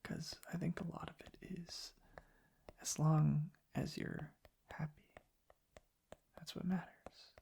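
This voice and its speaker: Gravelly, whispery soft-spoken ASMR host. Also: mid-range voice, flat delivery. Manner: reflective, unhurried, hushed.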